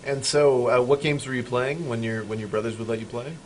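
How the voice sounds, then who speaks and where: A man's voice: medium pitch, steady tone, rough recording. A man hosting a casual online show, joking with his guest and asking him questions. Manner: joking, quick to laugh. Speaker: show host. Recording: clear background noise.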